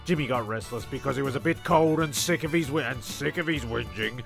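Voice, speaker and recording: A man's voice: medium pitch, fairly quick, creaky. A man narrating a comic story in an offhand, stumbling way, often repeating phrases. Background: music.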